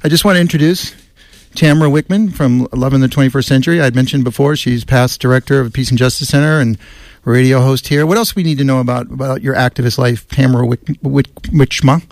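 A man's voice: medium pitch, quick pace, creaky. Radio host man, stumbling over names. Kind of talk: radio interview. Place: radio studio.

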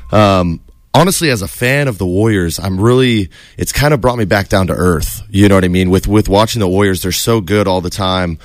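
A man's voice: sits low, fairly quick, dry recording, studio quality. A man who produces and co-hosts a casual sports radio show, talking in a laid-back, hesitant way and repeating words as he thinks aloud. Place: radio studio booth. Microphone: studio mic.

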